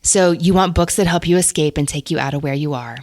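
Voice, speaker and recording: Woman, podcast host, deep voice, dry close sound, lively book chat. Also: fairly quick, no echo. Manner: enthusiastic, laughs often. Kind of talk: casual book talk.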